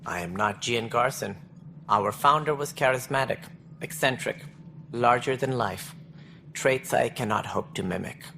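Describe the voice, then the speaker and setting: An actor playing an officious alien station director in a video game, speaking precisely and formally with a wry, earnest streak. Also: male voice, mid-range voice, a little slow, creaky, pushed and bright, slight background noise. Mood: reflective. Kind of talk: video game dialogue acting.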